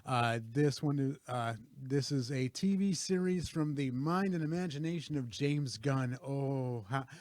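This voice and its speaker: A man co-hosts a casual movie talk show from a studio, talking eagerly and stumbling through restarts with plenty of fillers. His voice sits at an ordinary pitch. The recording is close and completely dry. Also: measured pace, hardly any pauses, darkish tone, dry recording. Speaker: co-host and camera operator. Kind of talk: casual podcast chat.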